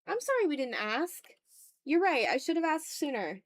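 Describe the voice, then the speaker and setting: A woman's voice: sits high, big pitch swings, moderate pace, dry recording, studio quality. A woman hosting a livestream, chatting informally and expressively straight to her viewers. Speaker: art livestream host. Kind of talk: casual livestream chat, reading and answering viewer messages.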